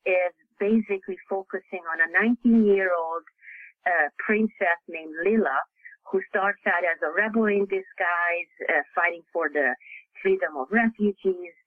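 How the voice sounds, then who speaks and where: A man from Hungary, native Hungarian speaker with a Hungarian accent, answering interview questions haltingly with many fillers and restarts. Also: high-pitched, dry recording, phone-quality sound. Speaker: author guest.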